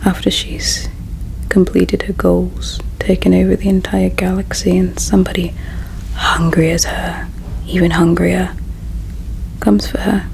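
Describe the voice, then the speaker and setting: A woman playing a gentle, caring alien in an ASMR roleplay, speaking in a slow, hushed, tender and wistful way with a breathy quality. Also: on the low side, level tone, slight background noise, rough recording. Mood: wistful, reflective. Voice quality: breathy whisper with creaky edges. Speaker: ASMR performer. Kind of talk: ASMR roleplay, whispered and soft-spoken.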